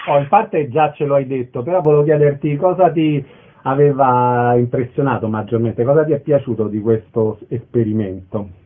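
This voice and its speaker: A man's voice: medium pitch, normal speed. A man hosting a radio show, interviewing and announcing over narrow, phone-like audio. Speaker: radio host.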